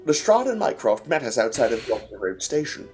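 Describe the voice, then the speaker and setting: A man acting an earnest Victorian gentleman, a loyal sidekick who narrates an audio drama in formal style. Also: medium pitch, sing-song, brisk, faint music behind, natural voice. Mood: measured, narrating.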